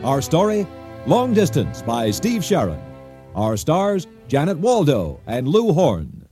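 A man's voice: a little high, wildly swooping pitch, slow pace, mellow, soft background music. A man announcing a radio theater program in a scripted broadcast style.

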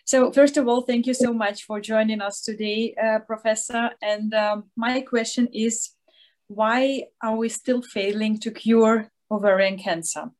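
A woman's voice: a little high, steady tone, measured pace. A young woman from Ukraine, politely reading out her interview questions.

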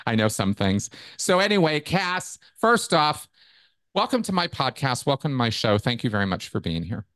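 A man's voice: medium pitch, fairly bright tone, clean tone, no room sound, clean studio sound. A man hosting a casual interview podcast, talking in a loose, rambling way with frequent restarts. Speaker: podcast host.

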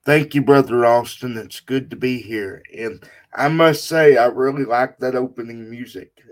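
A man with a Texas accent, a podcast guest telling his personal story slowly and deliberately. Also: mid-range voice. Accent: Texan. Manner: slow and deliberate, with pauses. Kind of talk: relaxed interview talk, personal story.

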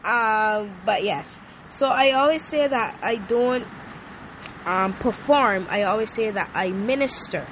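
A woman's voice: a little high, takes it slowly, fairly bright tone, slight background noise, rough recording. Earnest young woman sharing a testimony. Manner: earnest, repeats herself, restarts often. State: hoarse from a sore throat. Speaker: podcast host. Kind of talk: personal testimony, casual faith talk.

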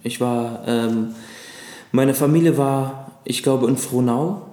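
A man in his thirties from Lebanon, whose first language is Arabic, recalling his childhood as an interview guest with frequent pauses and fillers. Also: mid-range voice, warm tone. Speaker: guest, actor. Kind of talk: casual interview chat. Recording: echoey room.

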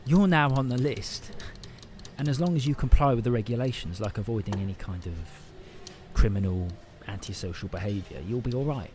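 An actor playing a patient but evasive council housing officer with a British accent and a bureaucratic, hedging manner. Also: male voice, mid-range voice, a little fast, darkish tone, slight background noise, natural voice. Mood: placating. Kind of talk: audio play dialogue.

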